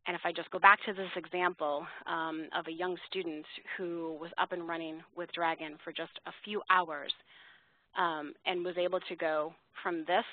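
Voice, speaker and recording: A dry, telephone-band recording carries a woman with a fairly low voice. She presents slides to educators in a measured, explanatory way. Her delivery is that of a semi-scripted webinar talk. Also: no room sound. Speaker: software company webinar presenter. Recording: narrow-band phone line, dry.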